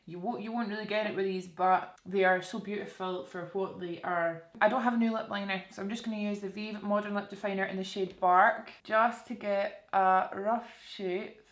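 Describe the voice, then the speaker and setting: A woman's voice: medium pitch, fairly even intonation, moderate pace, hardly any pauses. A young woman with a Scottish accent chatting casually through a makeup tutorial, often putting questions to her viewers. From Scotland. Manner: informal, conversational, addresses viewers with questions. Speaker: beauty vlogger presenting a makeup tutorial. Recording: roomy, echoey indoor sound.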